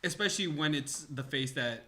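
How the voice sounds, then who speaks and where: A man's voice: on the high side, moderate pace, sharp tone. A man co-hosting a podcast, chatting casually with friends. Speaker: podcast co-host.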